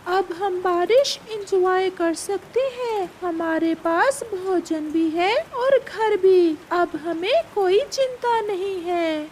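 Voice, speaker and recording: A woman's voice: very high voice, slow, hardly any pauses, a little mellow, a little hiss or hum, rough recording. A woman voicing a resolute, protective bird in a cartoon fable, speaking in firm statements. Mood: contented. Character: resolute bird friend, protective of the little ones. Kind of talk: Hindi animated fable dialogue.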